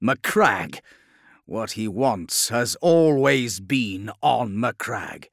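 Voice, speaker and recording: A proud and savage commander performed by a male narrator, growling and imperious. The delivery is forceful and swings widely, as in a dramatic science fiction audiobook, with a dry, close sound. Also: lowish voice, lively intonation, extremely slow, gravelly, no echo, heavily acted. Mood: vengeful, resolute.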